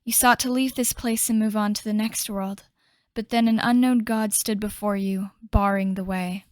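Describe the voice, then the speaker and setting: A woman playing a solemn storyteller, narrating a fantasy game legend in a measured way. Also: medium pitch, steady tone, a little mellow, no echo, lightly acted.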